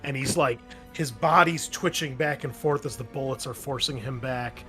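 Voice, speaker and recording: A man's voice: mid-range voice, normal speed, pushed and bright, soft background music. A man running a tabletop roleplaying game as game master, narrating combat vividly in a loose, casual way.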